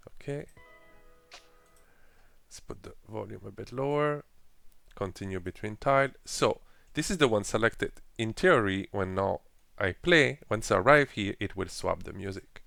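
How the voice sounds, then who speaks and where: A man presenting a casual software tutorial with phrasing and frequent fillers, explaining each step while clicking through an editor. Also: lowish voice, gravelly, no echo. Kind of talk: screen-recorded software walkthrough.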